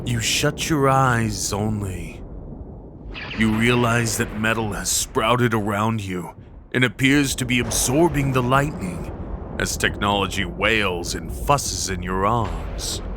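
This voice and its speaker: A man narrating a scripted dramatic story in the second person, with a measured, solemn manner. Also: lowish voice, noisy background. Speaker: narrator and voice performer. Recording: prominent music under the voice. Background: dramatic background music.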